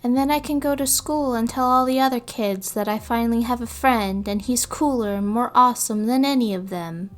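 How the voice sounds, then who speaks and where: A woman's voice: on the high side, brisk, hardly any pauses, faint music behind, natural voice. A woman voicing an earnest, lonely and proud little boy of about six, eager and a bit haughty, in a storybook reading. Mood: hopeful.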